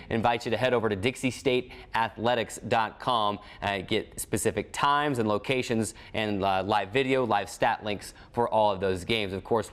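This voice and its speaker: American guy hosting college sports news, regular pitched voice. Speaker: sports show host and play-by-play announcer. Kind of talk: presenting a college sports recap.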